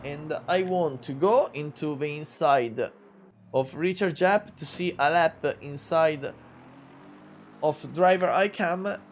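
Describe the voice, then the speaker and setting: A man's voice: on the high side, slow pace, a little hiss or hum. A man in his twenties with an Italian accent, commentating a sim race in English, hesitant, with many fillers and restarts. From Italy. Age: 20s. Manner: gets louder and more excited in close battles.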